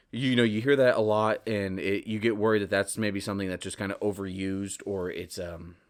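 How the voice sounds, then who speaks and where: A young man hosts a solo podcast in a slightly low voice. His delivery is casual and candid. He rambles from thought to thought with a self-deprecating streak. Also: a bit bright. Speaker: podcast host.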